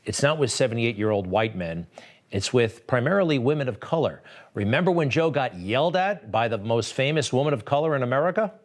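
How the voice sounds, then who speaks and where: A man's voice: mid-range voice, animated, brisk. A man delivering sardonic political opinion commentary, full of rhetorical questions.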